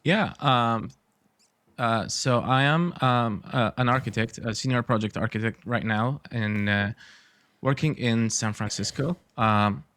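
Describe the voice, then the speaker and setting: A man's voice: mid-range voice, measured pace, fairly bright tone. A man from Iraq, an architect in his forties, chatting as a podcast guest, with many fillers and dry humor. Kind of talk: casual interview talk.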